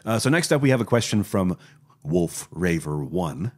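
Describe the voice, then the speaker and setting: A man's voice: deep voice, big pitch swings, moderate pace, a little mellow. A man from Florida co-hosting a casual podcast, reading listener questions and joking. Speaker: podcast co-host. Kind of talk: casual chat.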